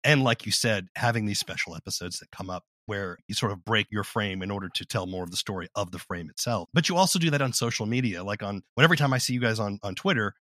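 Lower-voiced male interviewer, dry recording. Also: pushed and bright, no room sound. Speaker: interview host. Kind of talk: conversational interviewing.

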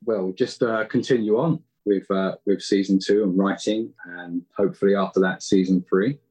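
A man chats casually as a guest on a podcast. His voice is low and rough-edged, with an easy conversational flow. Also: steady tone, normal speed, mellow, rough recording. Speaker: podcast guest, indie audio drama creator. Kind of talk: casual interview chat.

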